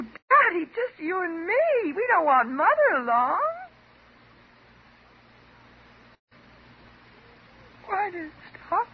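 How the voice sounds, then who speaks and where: An American actress playing an intense, unstable psychotherapy patient, theatrical and emotionally volatile, in a 1950s radio drama. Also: female voice, very high voice, heavily acted. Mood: childlike pleading. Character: intense, unstable woman psychotherapy patient in love with her doctor. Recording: dull, hissy 1950s radio recording.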